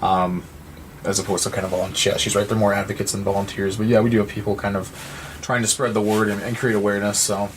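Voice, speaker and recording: A man from Missouri with an American accent, speaking as an interview guest in an earnest, halting, reflective way. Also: lowish voice, little pitch movement, a little hiss or hum, low-quality recording. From Missouri, United States. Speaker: nonprofit representative and guest. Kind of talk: conversational interview.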